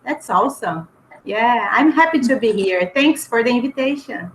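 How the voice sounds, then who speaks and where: A woman's voice: on the high side, expressive, moderate pace, slight background noise, low-quality recording. A woman on a casual video call who speaks in an encouraging way and praises the others.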